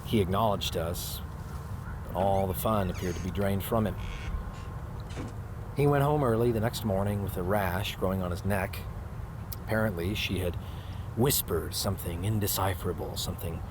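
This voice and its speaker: A man's voice: low voice, moderate pace, long pauses, background noise, natural voice. A man playing a grumpy, wounded bookstore manager, narrating his family memories in a wry, reflective way for an audio drama. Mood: wry, uneasy. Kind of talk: first-person dramatic narration.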